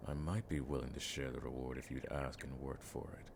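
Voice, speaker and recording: A man playing a weathered, world-weary veteran monster hunter in a fantasy audio drama, with a gravelly voice and a dry, wryly formal way of speaking. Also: a bass voice, level tone, a little fast, background noise. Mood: stern, measured.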